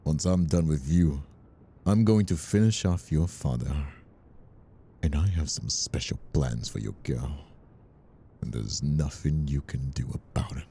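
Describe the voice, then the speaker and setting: A man playing a gravelly, sneering crime boss villain. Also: a bass voice, measured pace, warm tone, full character voice. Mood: cold menace. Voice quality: gravelly, husky. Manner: taunting, theatrical. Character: menacing, sneering crime boss villain, cowardly when cornered. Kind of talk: dramatic audio role-play acting.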